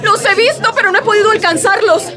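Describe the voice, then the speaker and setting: An actress playing a frantic wife crying out alarming news in a radio drama. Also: female voice, extremely high pitch, quick pace, crowd noise. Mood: frantic, alarmed.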